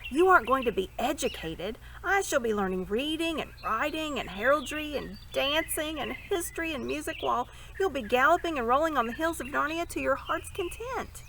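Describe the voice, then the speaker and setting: A woman's voice: high voice, animated, normal speed, gravelly, hardly any pauses, pushed and bright, voice pushed up, heavily acted. A woman voicing an eager, chatty young boy prince from a storybook, with breezy schoolboy slang. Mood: earnest, cheerful. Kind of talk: storybook character voice. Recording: birdsong and ambient noise behind.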